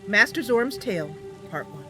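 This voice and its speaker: A woman's voice: medium pitch, animated, normal speed, over music. A woman hosting a gaming stream, chatting casually to her viewers with game sound behind her. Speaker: streamer and host of a roleplay gaming channel.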